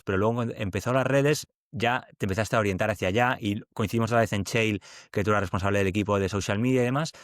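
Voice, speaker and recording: A man hosting an interview podcast, talking informally and warmly to a friend, with plenty of fillers. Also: on the low side, dry recording, studio quality. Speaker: podcast host. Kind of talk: casual conversational interview.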